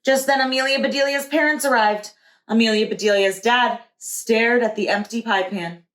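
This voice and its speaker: A woman's voice: fairly high pitch, normal speed, fairly bright tone. A woman, a preschool teacher, reading a children's storybook aloud. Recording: echoey room.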